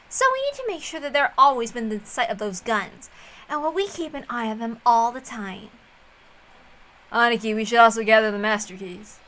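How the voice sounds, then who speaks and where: A blunt, middle-aged younger brother from a visual novel as a woman acts him out, bright and insistent. He is suspicious and pushy, speaking informally and forcefully. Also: a little high.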